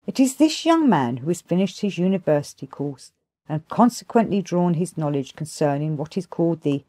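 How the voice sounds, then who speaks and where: A woman's voice: low voice, warm tone, dry recording. A woman voicing a kindly but sarcastic male pharmacist who explains things at length in an audiobook. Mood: wry, explanatory. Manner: sarcastic, expository, rhetorical questions. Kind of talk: audiobook character dialogue.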